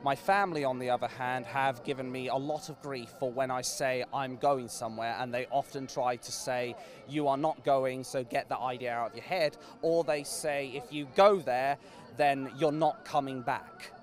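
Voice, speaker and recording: A man from Norwich answering street interview questions earnestly, pausing to search for words. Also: medium pitch, steady tone. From Norwich, England. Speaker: interviewee, rally supporter.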